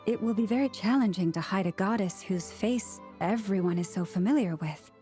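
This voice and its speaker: Calm, mellow young woman played by an actress. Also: medium pitch, lightly acted. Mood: calm, thoughtful. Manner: measured, polite, formal. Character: calm, gracious, gently earnest young woman. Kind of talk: video game voice acting. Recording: music behind the voice.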